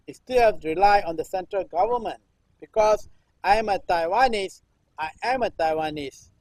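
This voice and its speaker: A man in his sixties from Singapore gives a live performance-art recitation. He declaims a read text in a halting way, restarting clipped words and breaking it up again and again with a repeated chanted refrain. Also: high-pitched, a little mellow, no echo, poor audio. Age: 60s. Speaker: performance artist.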